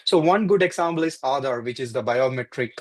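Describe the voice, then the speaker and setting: A man's voice: mid-range voice, lively intonation, fairly quick. Guy presenting online, explains step by step, keeps saying uh. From India. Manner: explanatory, lots of uh fillers. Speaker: panelist presenter. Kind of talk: webinar presentation.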